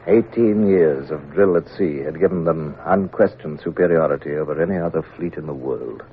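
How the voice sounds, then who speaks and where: Deep-voiced sea captain, slow and somber, tinny old radio sound. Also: male voice, a little hiss or hum. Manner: measured, somber, reflective. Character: grave, reflective naval captain telling his tale. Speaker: narrator and lead character. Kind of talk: 1950s-style radio drama.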